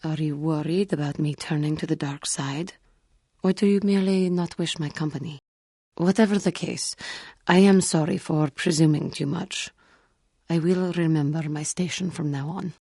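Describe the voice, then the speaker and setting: A low, dark female voice for a feline alien warrior monk in a video game. She is solemn and proud with old wounds underneath, choosing formal words in a guarded, earnest manner. The tone is husky and close. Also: fairly even intonation, no room sound. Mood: hurt, guarded. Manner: formal, measured, guarded, earnest, avoids contractions. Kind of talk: video game character dialogue.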